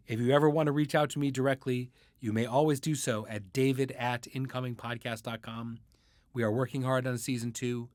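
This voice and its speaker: A man's voice: mid-range voice, clear, resonant tone, dry recording, clean studio sound. A man hosting a casual podcast interview, informal and joking in the way he talks.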